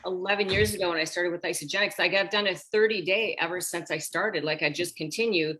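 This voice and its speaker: A woman's voice: lowish voice, quick pace. A woman in her sixties, a women's health coach, chatting animatedly on a group video call and talking straight to the group. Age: 60s. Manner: animated, talks straight to the group as "you guys".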